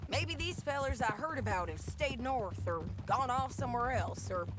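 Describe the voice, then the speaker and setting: A woman's voice: on the high side, lively intonation, moderate pace, close to an everyday voice. A woman playing a hardened frontier bounty hunter in a video game, with a dry, clipped, matter-of-fact way of talking. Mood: gruff. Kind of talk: video game cutscene acting. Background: game music and horse hooves.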